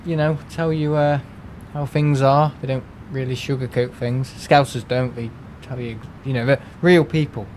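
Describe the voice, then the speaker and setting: A man's voice: medium pitch, steady tone, moderate pace, hardly any pauses, darkish tone, slight background noise. Chatty man with a Merseyside accent. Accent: Merseyside English. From England. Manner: rambling and chatty, many fillers and restarts. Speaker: livestream host. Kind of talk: casual chat with live viewers.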